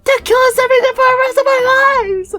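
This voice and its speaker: Woman doing a desperate squeaky schoolboy. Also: sharp tone, faint music behind. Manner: breathless, rushed begging.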